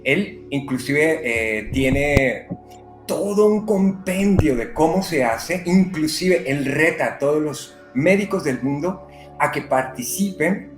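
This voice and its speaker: A man from Colombia, a medium and psychotherapist, speaking unhurriedly with many pauses in an interview. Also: fairly high pitch, lively intonation, echoey room, music in the background. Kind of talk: conversational interview. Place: living in Brazil.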